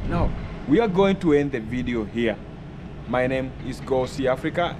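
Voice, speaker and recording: Kenyan vlog host, mid-pitched, outdoors. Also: male voice, lively intonation. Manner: brief agreeing responses, measured. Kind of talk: casual chat. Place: sunny rooftop terrace outdoors. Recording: outdoor city noise behind.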